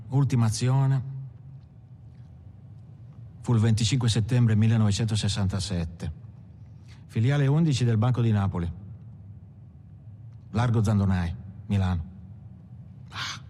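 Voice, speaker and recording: An actor playing a hardened veteran bank robber, recounting his crimes in deliberate, clipped phrases as a dramatized monologue. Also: fairly low pitch, dark tone, slight background noise, close to an everyday voice. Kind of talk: dramatized first-person monologue.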